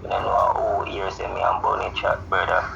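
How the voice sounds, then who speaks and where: Jamaican man, low pitch, insistent informal talk, heavy noise, recorded phone call. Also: monotone, moderate pace, creaky. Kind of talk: heated informal phone conversation.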